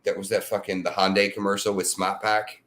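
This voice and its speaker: A man from the American Midwest hosting a casual chat, telling drawn-out stories in a laid-back way with some swearing. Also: fairly low pitch, flat delivery, fairly bright tone. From American Midwest, born in Tennessee. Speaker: host.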